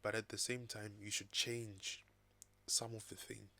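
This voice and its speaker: A man vlogging casually from his desk at home, raspy from recovering from an illness, thinking aloud in a loose, rambling way. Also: on the low side, flat delivery, a little slow, sharp tone. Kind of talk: casual talking-head vlog. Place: home desk with laptop and second screen.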